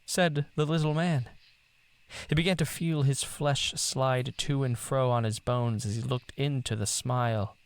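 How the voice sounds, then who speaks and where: A man narrating a literary story in a theatrical, deliberate manner. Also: mid-range voice, fairly even intonation.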